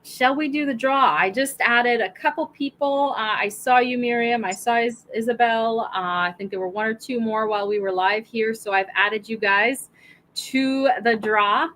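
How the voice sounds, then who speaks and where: A woman's voice: fairly high pitch, normal speed, a bit bright. A woman hosting a livestream and running a prize draw, chatting casually and apologetically straight to her viewers.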